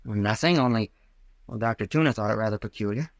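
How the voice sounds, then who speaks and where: A man's voice: on the low side, quick pace, creaky, no echo. An actor playing an anxious, earnest college boy in an old-time radio thriller. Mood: puzzled, probing. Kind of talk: 1940s radio acting.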